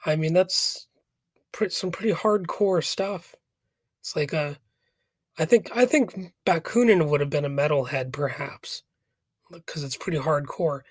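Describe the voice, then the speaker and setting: Male podcast host, raspy from a cold, slow and rambling, dry close sound. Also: a little high, dry recording. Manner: rambling, hesitant, jokey asides. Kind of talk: casual commentary on a philosophy text.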